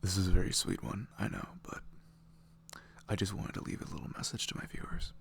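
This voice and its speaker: A man speaking softly in ASMR style to his listeners, gentle, hushed and reassuring. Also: deep voice, flat delivery, a little fast, gravelly. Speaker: audio roleplay creator. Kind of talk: soft ASMR message to listeners.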